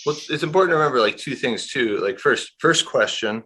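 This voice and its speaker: A man's voice: medium pitch, lively intonation, brisk, fairly bright tone, breathy edge. A man working as an industrials analyst, giving conversational market commentary in a rambling, candid way with dry asides.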